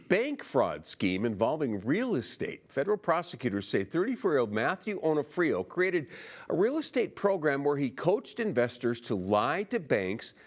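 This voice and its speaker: Lively American male news anchor. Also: medium pitch. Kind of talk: scripted broadcast news delivery.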